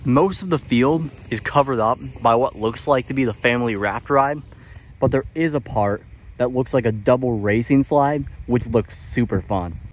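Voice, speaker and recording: A man's voice: mid-range voice, moderate pace. A man narrating an update as a travel vlogger, talking in an informal, explanatory way. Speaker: vlogger, channel host.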